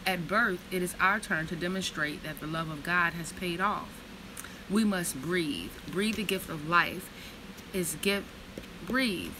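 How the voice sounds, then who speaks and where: A woman in her thirties reads slowly and reflectively from a book, then slips into blunt, profane, casual storytelling. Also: on the low side, noisy background. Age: 30s. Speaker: vlogger reading from a book.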